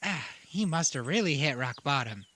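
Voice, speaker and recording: A performer voicing an excitable, bumbling halfling who huffs, exclaims and giggles in an audio drama. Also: medium pitch, moderate pace, background noise, close to an everyday voice. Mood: excited. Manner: exclaiming, huffing, giggling, swooping. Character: excitable, bumbling halfling storyteller companion named Solomon. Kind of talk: audio drama acting, muttering to self.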